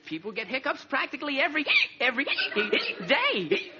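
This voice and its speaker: An actor playing a flustered, lovestruck, excitable teenage boy with a stammering, earnest manner in a vintage radio sitcom. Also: extremely high pitch, normal speed, crowd noise, full character voice. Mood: defensive. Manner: gushing, stammering, gee-whiz earnestness. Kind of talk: 1940s radio sitcom acting. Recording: dull, noisy old radio recording.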